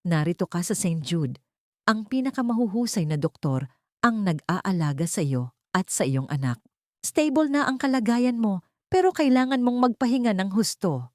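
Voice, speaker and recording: A woman voicing a wealthy young man who speaks calmly and firmly to protect his sister. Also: mid-range voice, normal speed, no room sound, clean studio sound, pitched above the performer's own voice, close to an everyday voice. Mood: gentle. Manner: calm, commanding, gentle toward his sister.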